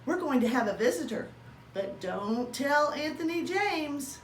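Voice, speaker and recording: A woman voicing a kindly, puzzled husband and father from a storybook in a folksy, expressive way. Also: sits high, unhurried. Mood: secretive. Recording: roomy, distant mic, faint background noise.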